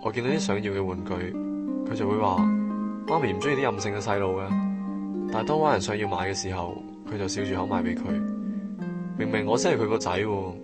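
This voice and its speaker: Guy acting a sulky proud young office worker, hong kong cantonese, bit deep, radio play w music under it. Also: quick pace, lightly acted. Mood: bitter, sulky.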